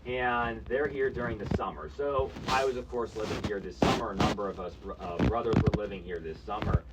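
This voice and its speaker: A man's voice: fairly low pitch, steady tone, brisk, far from the mic, heavy background noise. A young man hosting a college radio podcast, talking in a rambling way with many restarts. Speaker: podcast host. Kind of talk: casual college radio chat. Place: college campus radio studio.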